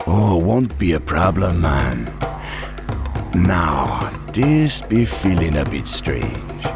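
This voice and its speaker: An actor playing a wily troll tribe chieftain in a fantasy game, with a gravelly Caribbean accent and a drawling, commanding manner. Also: male voice, low-pitched, big pitch swings, takes it slowly, exaggerated voice. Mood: calm, sly. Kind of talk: fantasy game character acting. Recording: music under the voice.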